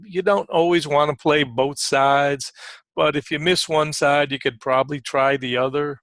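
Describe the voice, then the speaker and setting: Gravelly man giving informal trading commentary. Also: fairly high pitch, fairly even intonation, moderate pace, a bit bright, dry recording. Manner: frequent throat clearing. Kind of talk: informal live market commentary.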